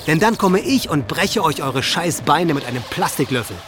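An actor playing a hateful, volatile teenage high-school boy with a contemptuous manner, in a radio documentary drama. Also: lively intonation, normal speed, background noise, lightly acted. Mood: scornful, agitated. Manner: ranting, rhetorical, contemptuous. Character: hateful, volatile teenage male high-school shooter reading his writings. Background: music.

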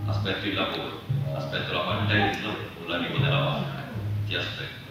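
A man's voice: low voice, fairly quick. A man giving a dramatic reading of an intimate first-person letter. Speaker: reader at a literary performance. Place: live festival performance in a large reverberant space. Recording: echoey hall, distant mic, loud music. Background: very loud music.

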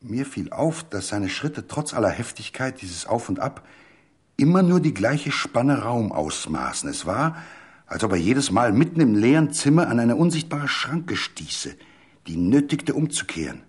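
A man reading a literary story as a scripted dramatic narration, measured and full of suspense. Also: medium pitch, very animated, slightly rough.

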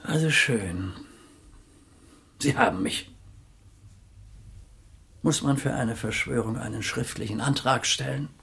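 Older male radio-play businessman, medium pitch, blunt, emphatic, commanding. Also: takes it slowly, vocal fry, pauses often, fairly bright tone. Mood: resolute. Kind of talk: German radio play acting.

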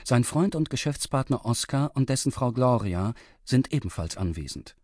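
A man narrating a recap of a radio drama, with steady, even reading. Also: mid-range voice, normal speed, slightly rough, no echo, studio quality.

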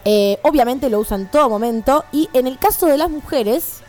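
A woman's voice: sits high, lively intonation, normal speed, darkish tone. A woman with an Argentine accent co-hosting a casual radio chat, explaining things colloquially and often checking for agreement. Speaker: radio show co-host. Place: radio studio. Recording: music playing behind the voice.

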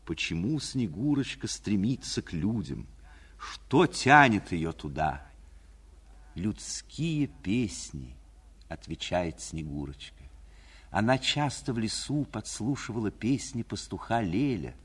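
A man narrating a scripted fairy tale for 1940s radio in a measured storyteller manner. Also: on the low side, slightly rough. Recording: 1949 radio recording.

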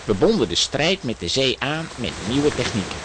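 An actor playing a courteous, proud and easily piqued engineer in a children's audio drama, formal and explanatory. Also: male voice, medium pitch, very animated, moderate pace, natural voice. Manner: formal, explanatory, self-important. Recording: noisy audio drama recording, hissing ambience.

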